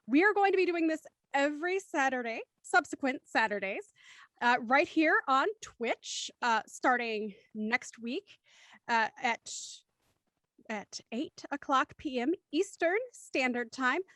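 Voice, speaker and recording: A woman's voice: high-pitched, animated, a little slow, fairly bright tone, no echo. A woman hosting a livestreamed cast chat and signing off the show, chatty and laughing between lines. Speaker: host.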